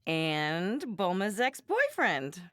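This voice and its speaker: An animated, doting yet strict mother. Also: on the low side, slow pace, no room sound, clean studio sound, heavily acted. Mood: curious. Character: doting, strict, blunt anime mother, adult woman. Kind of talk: scripted English anime game voice acting.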